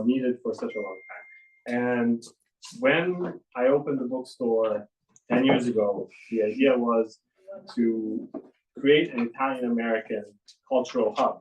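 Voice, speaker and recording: A man who owns a bookstore, opening a reading event with an earnest, halting welcome full of fillers and thanks. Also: a little mellow, recorded from a distance. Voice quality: deep voice. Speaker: bookstore owner hosting a reading. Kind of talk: casual event welcome speech. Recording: echoey room, voice from afar, faint noise.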